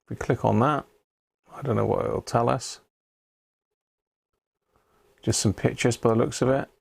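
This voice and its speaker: Male streamer, informal and unhurried, with a creaky edge and dry sound. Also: mid-range voice, fairly even intonation, darkish tone, no echo. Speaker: live stream host. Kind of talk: casual live chat, hobby radio demonstration.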